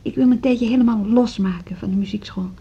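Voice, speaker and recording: An actress playing a hesitant, apologetic woman in an intimate radio drama, with a soft, husky, halting voice. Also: a little high. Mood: subdued, apologetic. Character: hesitant, apologetic pregnant woman, a music school co-director. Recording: dull lo-fi radio drama recording.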